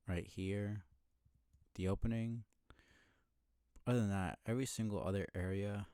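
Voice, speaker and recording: A man's voice: deep voice, vocal fry, a little mellow, no room sound, clean studio sound. A man doing casual live commentary as he plays a video game, musing and rambling as he thinks aloud. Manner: musing, rambling, mild swearing.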